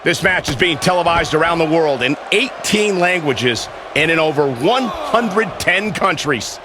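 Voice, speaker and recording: A man playing an excitable play-by-play announcer in a wrestling video game, over a noisy arena. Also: on the high side, unhurried. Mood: excited, amazed. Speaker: wrestling commentators. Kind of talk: excited sports commentary. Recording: noisy arena crowd behind.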